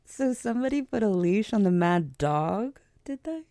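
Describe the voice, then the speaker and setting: A woman's voice: mid-range voice, sing-song, normal speed, warm tone, dry recording. A woman playing a haughty, powerful vampire queen in an ASMR audio drama, with a languid, condescending, sardonic manner. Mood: mocking, haughty. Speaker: voice actor in an audio roleplay.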